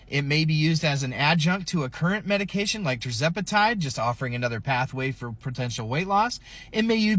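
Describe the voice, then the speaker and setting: A man's voice: high voice, bright, forceful tone, no echo. A man hosting a podcast, giving an emphatic, informal news recap straight to viewers. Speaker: podcast host.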